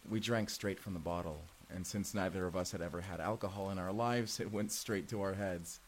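Male actor as sincere young time traveler, lowish voice, confiding and casual, sci-fi audio drama. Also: fairly quick, slight background noise, lightly acted. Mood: nostalgic. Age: young adult. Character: earnest, secretive young man from the future named Benji. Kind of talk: immersive audio drama roleplay acting.

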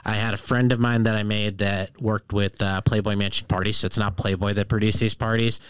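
A young man from Boston with a Boston accent, a guest comedian telling animated stories in a casual podcast chat. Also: lowish voice, a little fast, smooth, no room sound, studio quality. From Boston, Massachusetts. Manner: animated storyteller, laughs through punchlines.

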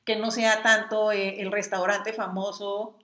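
Chatty Mexican woman, bright echoey voice. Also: medium pitch, level tone, a little slow. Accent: Mexican Spanish. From Mexico (Mexicali, Baja California). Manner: chatty, laughing often, playful teasing. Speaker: podcast co-host. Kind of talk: casual chat.

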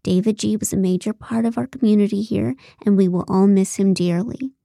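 A woman's voice: medium pitch, fairly even intonation, fairly quick, vocal fry, mellow, no echo, studio quality, close to an everyday voice. Woman as a terse, controlling female producer. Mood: brisk, formal. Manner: clipped, tight, sarcastic.

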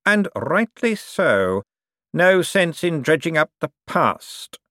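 Man voicing a smug, silkily menacing rich banker, raised pitch. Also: very animated, fairly bright tone, no room sound, clean studio sound, full character voice. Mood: oily, falsely generous. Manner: suave, condescending, drawn-out hints. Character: smug, silkily menacing wealthy male bank director. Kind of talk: audiobook character dialogue.

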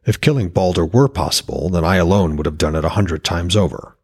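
Boastful, impatient god of war, read by a man: blunt, dismissive, low and rough. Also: brisk.

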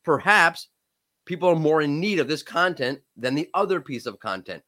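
A man's voice: a little high, lively intonation, bright, forceful tone, a little hiss or hum. Man in his thirties with a cold. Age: 30s. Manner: emphatic, quick, frequent self-restarts. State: has a cold, voice cracking. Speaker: podcast guest, SEO expert. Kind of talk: casual expert discussion.

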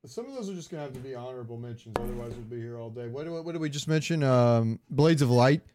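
A man's voice: mid-range voice, quick pace, mellow. A man co-hosting a podcast, chatting casually about games in loose, slangy language. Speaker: podcast co-host. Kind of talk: casual gaming banter and debate.

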